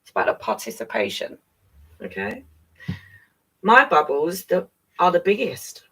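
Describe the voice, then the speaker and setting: A woman's voice: fairly low pitch, big pitch swings, creaky, pushed and bright, breathy edge, far from the mic, low-quality recording. A woman reading a picture book aloud to children, with playful, chatty asides and exclamations. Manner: playful, chatty, exclaiming, drawn-out words for emphasis. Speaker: children's story reader.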